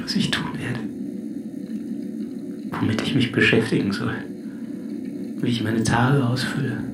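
An actor playing a solitary, sardonic and melancholy last man on earth in a reflective, measured radio drama monologue. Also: medium pitch, echoey room, very noisy, close to an everyday voice.

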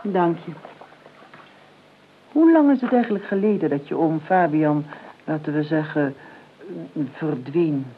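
An actress playing a sardonic, calculating older aunt in a Dutch radio play, speaking in a measured, dry way and drawing out key words. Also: female voice, low-pitched, lively intonation. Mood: probing. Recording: tinny, band-limited old radio recording, clear noise.

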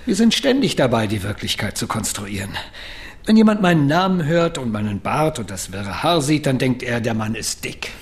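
A man's voice: mid-range voice, sing-song, moderate pace. An actor playing a bearded male philosopher and widower, genial and wryly self-mocking, in a radio drama. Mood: amused. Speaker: neuroethicist.

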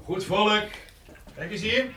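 A man's voice: sits high, slow, background noise. An actor playing a hearty, blustering countryman in a naturalistic radio drama.

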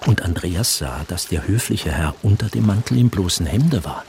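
A man narrating a literary radio drama as a composed, measured storyteller. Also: low voice, animated, gravelly. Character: composed third-person storyteller. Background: faint music.

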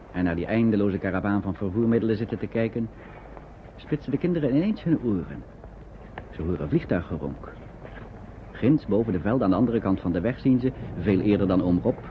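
A man narrating a Dutch radio play in a measured, descriptive storytelling style. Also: fairly low pitch, animated, close to an everyday voice. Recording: muffled, noisy old radio recording.

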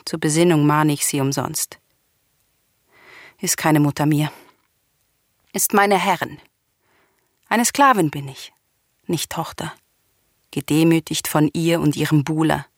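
An actress playing a bitter, unyielding young princess in a classical radio tragedy, declaiming gravely and deliberately. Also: female voice, deep voice, no room sound, clean studio sound. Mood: bitter accusation.

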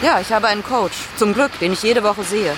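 A woman's voice: medium pitch, fairly quick, lightly acted. An actress playing a driven, overworked German expatriate businesswoman, speaking frankly in a radio drama monologue. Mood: frank, weary. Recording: clear car and street noise.

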